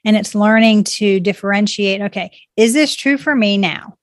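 A woman talks earnestly and encouragingly about growth, speaking in positive affirmations. Her voice sits at an ordinary middle pitch. The recording is dry and close, with no room sound. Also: normal speed, no room sound. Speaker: guest speaking on self-growth. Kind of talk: reflective conversation.

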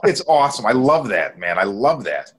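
A man's voice: mid-range voice, very animated, normal speed, husky. A man chatting casually as a podcast host, informal and opinionated in the way he talks. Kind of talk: casual chat.

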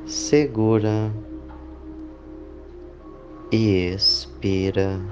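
A man leading a guided meditation, speaking in deliberate phrases with long pauses. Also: lowish voice, fairly even intonation. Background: clear background music.

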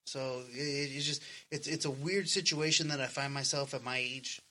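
Bright-voiced, slangy man in his thirties. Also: medium pitch, fairly even intonation, normal speed. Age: 30s. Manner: slangy, earnest, swearing freely. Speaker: podcast co-host. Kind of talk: casual personal chat.

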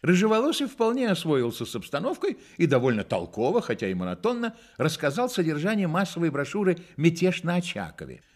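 A man narrating a literary radio play as a deliberate, expressive storyteller. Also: fairly high pitch. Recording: studio radio play with music.

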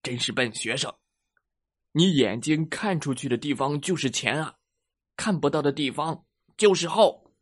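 A man's voice: a little high, normal speed, close to an everyday voice. A man voicing a grumpy, impatient bear teacher in a children's story, scolding and sighing at his students. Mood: lecturing.

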